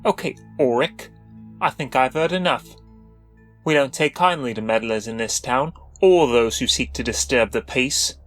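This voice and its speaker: A narrator plays a tall, menacing male villager in a fantasy audio story. He talks in a folksy, confrontational way, full of small-town suspicion of strangers, at a middle pitch over music. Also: normal speed, a bit bright, close to an everyday voice. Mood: threatening. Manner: confrontational, folksy rural phrasing.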